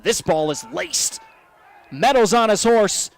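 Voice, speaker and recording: An American man calling baseball play-by-play as a broadcaster, animated and given to shouting on big plays. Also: high-pitched, takes it slowly. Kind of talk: live sports play-by-play commentary.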